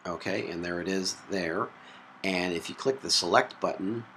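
A man with a low voice talks through a software tutorial one step at a time. His delivery is halting and explanatory. It is recorded on a laptop mic with clear background noise. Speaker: tutorial presenter. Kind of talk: hands-on software tutorial narration. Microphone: built-in laptop microphone. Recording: noisy laptop mic sound.

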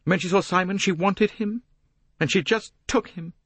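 Man voicing a high-pitched, bitter young woman. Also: normal speed, fairly bright tone, breathy edge, no room sound, full character voice. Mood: passionate, insistent. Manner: hard-edged, intense. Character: Jacqueline, bitter, desperate young woman in love.

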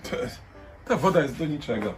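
A man's voice: a little high, expressive, a little fast, over music. A man in his sixties talking aloud to himself in a children's skit, outdoors. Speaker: performer in a children's lesson skit. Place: outdoors in a garden.

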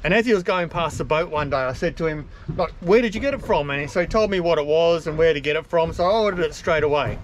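A man's voice: a little high, quick pace, hardly any pauses, slight background noise. Chatty Australian man on a boat. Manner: chatty, rambling, self-deprecating. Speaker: boating vlogger. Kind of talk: casual vlog narration. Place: on a small open motorboat on a harbour, outdoors. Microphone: camera mounted on the boat.

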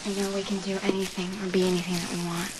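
An actress playing an earnest young woman from a wealthy family, speaking in a soft, often whispery and reassuring way. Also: fairly low pitch, rapid-fire, natural voice. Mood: earnest tender. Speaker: actor in a daytime soap. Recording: TV soap audio with steady background noise.

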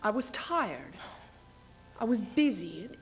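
A young woman playing a weary, proud, sharp-tongued queen in a dramatic stage reading. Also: medium pitch, very animated, slow pace, gravelly, soft background music, exaggerated voice. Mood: wounded, biting. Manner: dramatic, biting. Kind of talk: theatrical duo interpretation, stage reading. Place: indoor stage in front of curtain.

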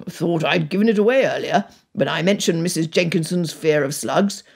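A woman's voice: low-pitched, moderate pace, gravelly, husky, heavily acted. A woman voicing a jovial, teasing, self-satisfied upper-class uncle in a storybook reading. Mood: amused. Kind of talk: dramatised audiobook dialogue.

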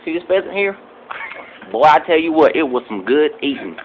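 A man playing a boastful, broke rural hunter who shows off his trophies in a rambling, deadpan way. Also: a little high, a little fast, narrow, tinny audio, slight background noise. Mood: bragging. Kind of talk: home comedy sketch.

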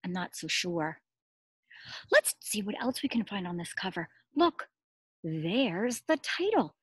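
A middle-aged woman, a preschool teacher, reads a story aloud expressively, pausing to explain simply and ask small children questions. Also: medium pitch, slightly rough, no echo, rough recording. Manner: expressive, addressing small children with simple words and emphatic repetition. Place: outdoors in a garden.

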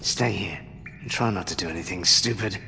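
A man's voice: sits low, moderate pace, vocal fry, bright, forceful tone, soft background music, exaggerated voice. A man playing a sly, self-assured pirate rogue in a video game, with a wry drawl. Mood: wry, hushed. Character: sly, roguish adult male pirate, coolly self-assured. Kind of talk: dramatic video game voice acting. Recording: reverberant cave, faint noise behind.

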